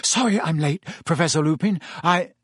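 A man's voice: on the high side, normal speed, fairly bright tone, husky, no room sound, clean studio sound, pitched above the performer's own voice. A man voicing an earnest, weary teenage schoolboy in a plain, lightly lifted voice.

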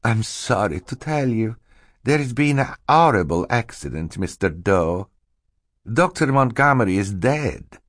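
A man's voice: fairly low pitch, big pitch swings, unhurried, a bit bright, dry recording, studio quality, pitched above the performer's own voice, full character voice. A man narrating an audiobook, voicing a scheming villain who poses as a meek, fluttery, apologetic assistant. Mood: feigned sorrow. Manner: hissing menace switching to fluttery, falsely apologetic politeness. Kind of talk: audiobook character acting.

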